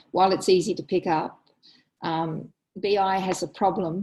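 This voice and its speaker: A middle-aged woman coordinating an online meeting, presenting data with many ums and halting restarts over a video call. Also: on the low side, a little mellow, poor audio.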